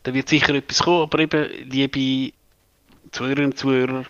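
A man's voice: mid-range voice, level tone, fast talker, a bit bright, clear, resonant tone, dry recording, highs cut off. A man with a Swiss German accent co-hosting a radio tech show, thinking aloud with many fillers. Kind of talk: casual radio chat.

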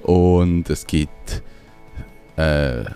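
A man's voice: rumbling low, very slow, warm tone, smooth, faint music behind. A man with a Swiss German accent chatting casually as a radio co-host, rambling and searching for his words. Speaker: radio show co-host and small business owner.

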